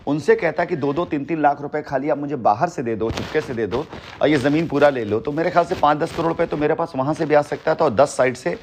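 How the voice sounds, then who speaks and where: A man's voice: on the high side, quick pace, a little mellow, a little hiss or hum. A middle-aged man heading a company, talking assertively and boastfully on a phone call, repeating his points. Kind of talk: heated phone conversation.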